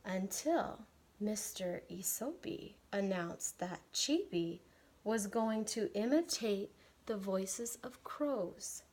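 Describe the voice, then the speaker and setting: An American woman, a teacher, reading a picture book aloud with deliberate, expressive phrasing meant for children. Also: mid-range voice. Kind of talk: read-aloud storytelling.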